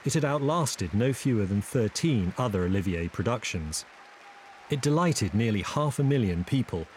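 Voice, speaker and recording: Low-voiced man narrating a documentary. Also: a little mellow, a little hiss or hum. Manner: measured and informative. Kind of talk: scripted narration.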